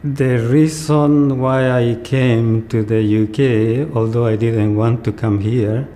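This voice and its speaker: Older man, Chilean accent, measured slow pace, flat mid-pitched delivery, documentary testimony. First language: Spanish. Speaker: documentary interviewee. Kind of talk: personal testimony.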